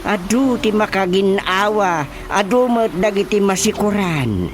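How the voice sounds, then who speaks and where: A man's voice: high voice, expressive, unhurried, music in the background. A man acting a teasing, bumbling village official in an Ilocano radio comedy, stammering and exclaiming.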